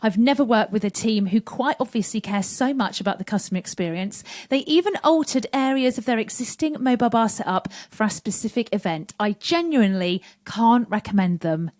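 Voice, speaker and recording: A woman's voice: on the high side, lively intonation, a little fast, hardly any pauses, a little mellow. A British woman co-hosting a podcast with casual, chatty banter. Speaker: podcast co-host.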